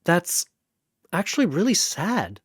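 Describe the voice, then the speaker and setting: A man's voice: high voice, wildly swooping pitch, takes it slowly, breathy edge, dry recording, studio quality, pitched above the performer's own voice, exaggerated voice. A man voicing a plucky young female monster slayer in a battle story, wry, flippant and quippy. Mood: wry, sympathetic.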